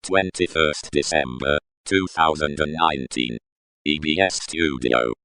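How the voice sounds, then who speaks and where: A man playing an impersonal announcer who gives terse date stamps in a flat, clipped way for a radio play. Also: rumbling low, unhurried, full character voice. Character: impersonal deep-voiced announcer of recording dates.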